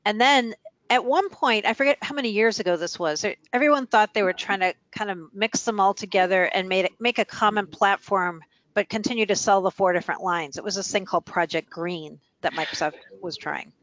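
A woman's voice: medium pitch, fairly quick, fairly bright tone, no room sound. A woman hosting a casual podcast chat, talking conversationally with short affirmations. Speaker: host.

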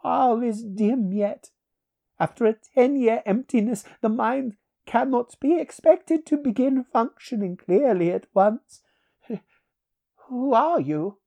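A woman's voice: on the high side, expressive, slow, vocal fry, warm tone, pitched above the performer's own voice, exaggerated voice. A woman voicing an ancient aristocratic male sorcerer with a wry, archaic, theatrical manner in a fantasy audiobook. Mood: dazed, curious.

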